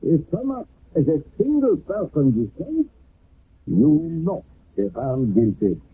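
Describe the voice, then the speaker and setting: A stern village judge. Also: male voice, fairly high pitch, huge pitch swings, measured pace, slightly rough, no echo, exaggerated voice. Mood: solemn. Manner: formal, solemn pronouncements. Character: stern male village leader presiding as judge. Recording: tinny dry old radio broadcast.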